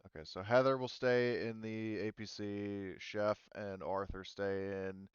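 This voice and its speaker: A man running a tabletop roleplaying game as game master in casual talk, thinking aloud with many pauses. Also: lowish voice, flat delivery, smooth, dry recording, lo-fi sound.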